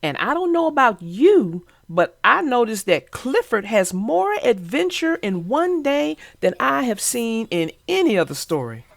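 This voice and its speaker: A woman children's book narrator speaking expressively and deliberately, as if to young children. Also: on the high side, sing-song, vocal fry, dry recording. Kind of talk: storybook read-aloud and casual chat.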